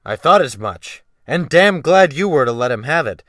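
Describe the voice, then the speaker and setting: A man's voice: a little high, sing-song, normal speed, fairly bright tone, no echo, clean studio sound. A man voicing a brutal, commanding ship's captain who snaps orders with mocking menace in a dramatic audiobook. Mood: harsh, mocking. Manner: snapping, barking orders, thundering. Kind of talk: dramatic audiobook character reading.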